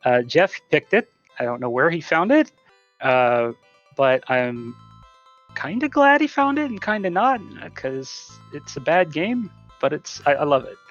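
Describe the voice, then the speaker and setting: Halting man on a dull mic. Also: fairly high pitch, very animated, fairly bright tone. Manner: halting, hesitant delivery with repeated words. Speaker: speedrunner. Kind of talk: casual live gameplay commentary. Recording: dull lo-fi mic, faint music.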